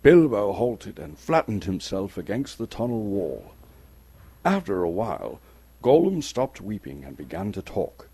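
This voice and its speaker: A man's voice: lowish voice, very animated, measured pace, mellow, breathy edge. A man from Canada giving a dramatic scripted narration of a fantasy story as an actor and storyteller. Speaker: storyteller, actor reading a novel.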